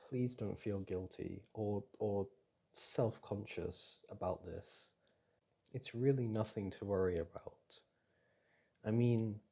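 Doting boyfriend, male actor, ASMR roleplay: soft, breathy, dark-toned, unhurried, tender and reassuring. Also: lowish voice, exaggerated voice. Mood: tender, supportive. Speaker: audio roleplay performer.

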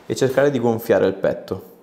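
A man in his thirties with a low voice talks as a chiropractor at work. He gives short, casual instructions and comments, recorded in a small, clearly echoing treatment room. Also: moderate pace, mellow, slight background noise. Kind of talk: casual hands-on session talk with short instructions.

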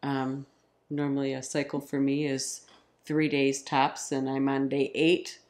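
Woman in her forties, slight rasp, relaxed couch vlog chat. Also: rumbling low, measured pace. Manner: relaxed, chatty storyteller with ums. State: slightly raspy from a cold. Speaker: vlog co-host. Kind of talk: casual chat. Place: living room, on a couch. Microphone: camera in front.

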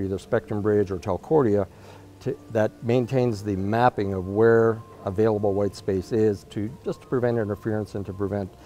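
A man's voice: on the low side, dark tone, a little hiss or hum. A man in his fifties demonstrating equipment in an unscripted, explanatory way with frequent hesitations. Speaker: product marketer demonstrating equipment. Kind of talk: unscripted product demonstration and interview answers. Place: indoor trade event interview spot.

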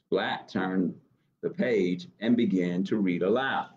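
A man in his thirties reading a picture book aloud to children as storytime host, expressive and encouraging, with chatty asides to young listeners. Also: deep voice, slow pace, a little hiss or hum. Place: small office, indoors. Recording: dull webcam audio.

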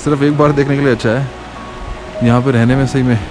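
A man's voice: mid-range voice, fairly even intonation, brisk, rough recording. A man in his thirties vlogging while walking outdoors through a snowy mountain valley, chatting casually into a camera he holds close and mixing English into his talk. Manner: chatty, mixes English into speech, reflective at times. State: out of breath from walking at altitude. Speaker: travel vlogger. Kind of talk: casual travel vlog narration. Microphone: action camera held close. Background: ambient music.

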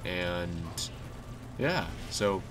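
A man's voice: sits low, lively intonation, extremely slow. A young man with a cold, sounding nasal and stuffy, chatting casually over gameplay with lots of ums and restarts. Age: young adult. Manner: rambling, with many fillers and restarts. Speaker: YouTube gamer. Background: game music and sounds.

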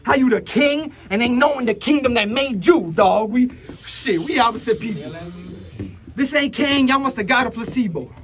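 A man's voice: very high voice, animated, normal speed, a bit bright. A young man from the Republic, rapping a taunting battle verse in a crowded indoor room. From Dominican Republic. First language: Spanish. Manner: aggressive, taunting, punchline-heavy. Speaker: battle rapper. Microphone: handheld camera at close range.

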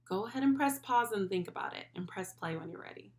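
A young woman hosting a children's lesson, speaking directly to the child with animated, encouraging praise. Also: mid-range voice, quick pace. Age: young adult. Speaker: children's read-along host and school speech therapist. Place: small furnished room at home, indoors.

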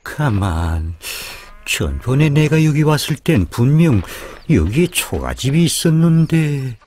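An actor playing a worried elderly father in an animated folk tale, with a North Korean accent, sighing and exclaiming. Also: mid-range voice, slow. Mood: anxious. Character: elderly worried father of three grown sons. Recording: music behind the voice.

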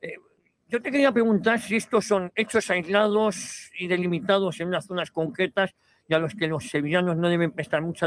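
Halting, higher-voiced Spanish radio host. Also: male voice. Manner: halting, many fillers and restarts. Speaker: radio host interviewing candidates. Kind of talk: radio interview. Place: outdoor terrace, large round table. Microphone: desk microphone on stand.

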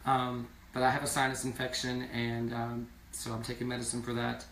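A hoarse man in his thirties chats loosely on a live stream, wandering between stories and viewer comments. His voice sits in an echoey small room and is picked up by a phone set some distance away. A faint hiss runs underneath. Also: medium pitch, monotone, fairly quick, a bit bright. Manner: chatty, rambling, reads viewer comments aloud. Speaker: singing duo member hosting a live stream. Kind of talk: casual live-stream chat. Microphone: phone camera at a distance.

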